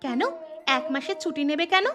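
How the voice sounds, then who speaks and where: A woman's voice: sits high, brisk, sharp tone, faint music behind. A woman voicing a stubborn young city wife in a cartoon, pouty and complaining. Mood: sulky.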